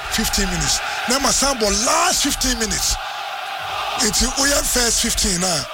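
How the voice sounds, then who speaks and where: A man giving tactical analysis as co-commentator, explaining calmly in mixed Twi and English. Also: very high voice, measured pace, over a noisy crowd, very noisy.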